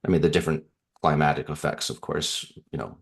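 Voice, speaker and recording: A man from Canada with an extremely low, deep voice talks through his answers in a relaxed interview. He thinks aloud, circling back with fillers and small restarts as he explains himself. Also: brisk, gravelly. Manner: thoughtful, rambling with fillers and restarts. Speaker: author guest.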